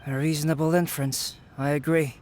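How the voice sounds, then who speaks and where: An actor playing a composed, scholarly young researcher in an anime-style game, precise, formal and detached. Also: male voice, fairly high pitch, level tone, brisk, a little mellow, soft background music, natural voice. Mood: analytical. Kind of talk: anime-style game cut-scene acting.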